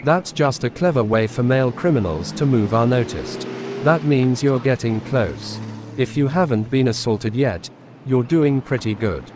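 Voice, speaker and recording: A man doing a crude comedic voice-over narration, delivered deadpan. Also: mid-range voice, normal speed, noisy background. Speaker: channel narrator.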